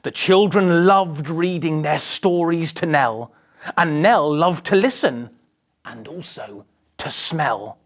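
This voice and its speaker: A woman reads a picture book to children in a theatrical storytelling style. Her voice sits a little low and swoops widely in pitch, and she delivers the rhyming story slowly, leaning into the drama. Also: lively intonation. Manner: theatrical, dramatic emphasis with hushed passages. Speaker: storybook reader. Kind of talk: animated children's picture book read-aloud.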